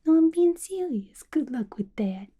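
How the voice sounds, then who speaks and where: A woman voicing a playful, gossipy villain locked in an asylum, in a breathy, chatty, confiding ASMR roleplay. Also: sits high, animated, measured pace, warm tone, a little hiss or hum. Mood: teasing, conspiratorial. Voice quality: breathy, whispery. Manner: chatty, confiding, casual slangy talk.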